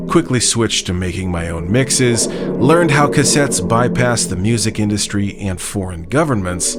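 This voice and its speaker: A man's voice: lowish voice, animated, unhurried. A man hosting a music video series, delivering scripted narration.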